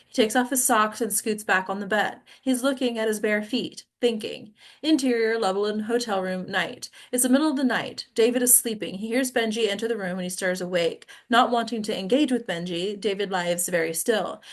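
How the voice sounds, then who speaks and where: A woman narrating stage directions at a table read in a plain, informal way. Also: medium pitch.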